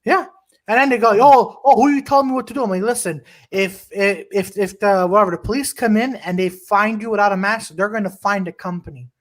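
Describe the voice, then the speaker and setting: A man hosting a casual podcast chat over a video call, loud and rambling, swearing and restarting often. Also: high-pitched, fairly quick. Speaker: podcast host. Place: home room, video call.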